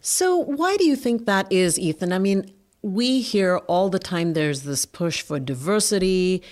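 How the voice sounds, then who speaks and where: A woman's voice: lowish voice, darkish tone. A woman speaking conversationally as a podcast interviewer.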